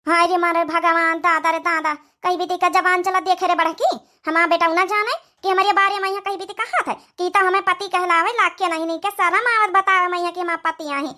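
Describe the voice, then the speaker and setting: Woman as aggrieved old village saas in a comedy cartoon, super high and piercing, awadhi accent, always complaining. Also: steady tone, a little fast, hardly any pauses, bright, forceful tone. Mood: wailing complaint. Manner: nagging, complaining, rustic. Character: scolding, aggrieved old village mother-in-law.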